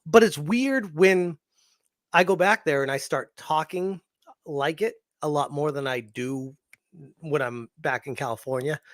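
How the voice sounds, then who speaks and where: Guest, man with a light Boston accent, relaxed and amused, somewhat high, recorded dry. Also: a little slow, no room sound. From Massachusetts South Shore. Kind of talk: casual livestream chat.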